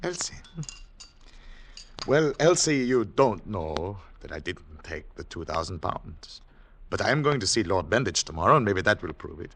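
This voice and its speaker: An actor playing a haunted secret agent on the run, narrating in dramatic, deliberate, clipped phrases in 1940s radio thriller style. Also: male voice, low-pitched, big pitch swings, a bit bright, husky, heavily acted. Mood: guarded. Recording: noisy 1940s radio recording with clicks.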